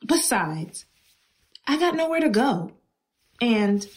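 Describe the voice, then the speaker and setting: A woman's voice: on the high side, very animated, slow pace. A woman playing a blunt, sardonic but protective bookstore worker in a radio drama. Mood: uneasy, caring.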